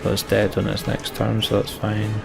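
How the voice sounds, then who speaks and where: A man's voice: on the low side, completely flat delivery, normal speed, gravelly. A man giving casual commentary as he plays a game, muttering dry, understated reactions. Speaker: let's play streamer. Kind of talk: casual game commentary and reading dialogue aloud. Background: game music.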